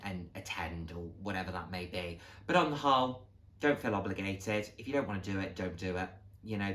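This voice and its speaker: A man's voice: fairly low pitch, a bit bright. A man giving a candid, motivational monologue to camera, speaking earnestly and emphatically and repeating phrases for stress. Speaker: vlogger sharing a personal journey.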